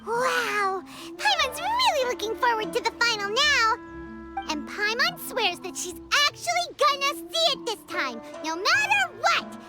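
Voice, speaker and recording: A woman's voice: extremely high pitch, sing-song, measured pace, heavily acted. A woman voicing a small, perky, childlike fairy companion in a video game, exclaiming and talking about herself in the third person, with music behind. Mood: excited. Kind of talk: animated video game acting.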